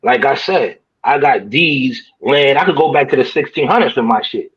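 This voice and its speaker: A man's voice: medium pitch, lively intonation, moderate pace, highs cut off. A man with a New York accent defending his claims in a heated group debate, insistent and repeating himself. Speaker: debate guest.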